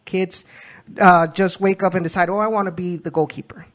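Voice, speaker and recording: A man's voice: high voice, fairly even intonation, fairly quick. A man hosting a soccer show, interviewing a guest in a conversational, somewhat hesitant and wordy way.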